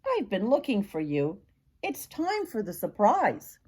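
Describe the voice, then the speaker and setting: A woman voicing a kindly, busy man looking after someone in a children's storybook, speaking in a gentle, explanatory way. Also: mid-range voice, huge pitch swings, unhurried, breathy edge, voice pushed up, full character voice. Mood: calling warmly.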